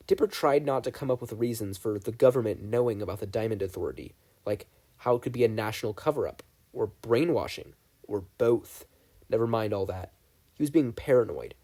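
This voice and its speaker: A man's voice: mid-range voice, mellow. A man reading fan fiction aloud as a scripted narration. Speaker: podfic reader.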